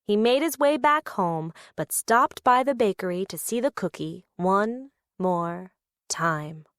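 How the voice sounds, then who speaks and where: A woman narrating a children's story in an expressive read-aloud style. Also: medium pitch, slow pace, clean tone, no echo, studio quality.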